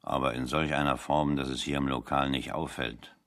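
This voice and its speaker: An actor playing a shrewd working-class refugee in a radio play, talking with wry, mock-formal solemnity. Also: male voice, rumbling low, steady tone, normal speed, fairly bright tone. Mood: solemn, sly. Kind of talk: 1970 German radio play dialogue.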